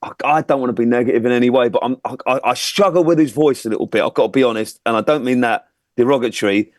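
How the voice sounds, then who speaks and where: A man's voice: mid-range voice, quick pace, no room sound, clean studio sound. A man giving casual song critique as a music producer on a judging panel.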